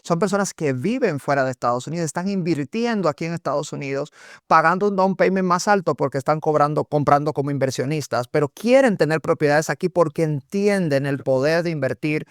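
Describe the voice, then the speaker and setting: A man from Cuba, an accountant guest on a podcast, talking in a lively way with rhetorical questions and sayings. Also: on the high side, fairly quick, dry recording, clean studio sound. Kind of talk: conversational podcast interview.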